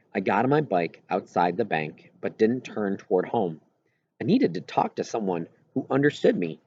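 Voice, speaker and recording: A man's voice: fairly low pitch, muffled recording. A man reading a children's novel aloud in first-person narration, as an audiobook reader.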